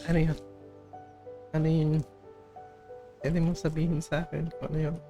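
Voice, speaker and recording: Creaky Filipino male game streamer. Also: a little high, completely flat delivery, normal speed. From Southeast Asia. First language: Tagalog. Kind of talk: casual livestream reactions. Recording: game music behind the voice.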